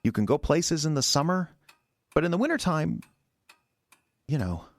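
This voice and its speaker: An actor in an audio drama playing a resentful, defensive husband who stammers and rambles in a halting, wounded way. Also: male voice, fairly high pitch, sing-song, no echo. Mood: brooding, resentful. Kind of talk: naturalistic audio drama acting.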